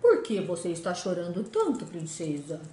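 A crafty, bargaining storybook frog with a lowered, coaxing voice, as a woman acts him out. He makes his deals slowly and slyly. The room is echoey. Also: huge pitch swings, dark tone, a little hiss or hum. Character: sly bargaining male frog.

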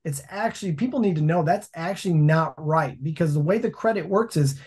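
A man's voice: a little high, brisk, slightly rough. Storytelling man on a video call. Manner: storytelling, animated hand gestures. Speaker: laundromat owner and guest. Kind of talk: casual interview chat. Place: home office.

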